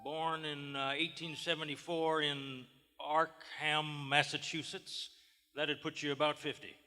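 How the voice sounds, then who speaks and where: Man playing a blunt sheriff, forceful. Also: on the high side, little pitch movement, unhurried, faint music behind. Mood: probing. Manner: folksy, blunt, badgering. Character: gruff, suspicious, hard-nosed Southern sheriff in his office. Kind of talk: 1940s radio drama acting. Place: large theater stage, live performance. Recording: live theater stage, long hall reverb.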